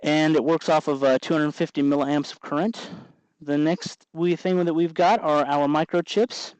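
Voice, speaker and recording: A man hosting an informal electronics tutorial, explaining things off the cuff in a halting way as he thinks aloud. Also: fairly high pitch, fairly even intonation, darkish tone, smooth, no echo, rough recording. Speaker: host of an electronics tutorial show. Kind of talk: unscripted hands-on tutorial narration.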